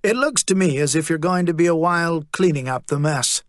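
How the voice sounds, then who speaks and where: A man narrating a story and voicing a cool, guarded male agent with a quietly malicious edge. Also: a little high, a little fast, dry recording, studio quality, lightly acted.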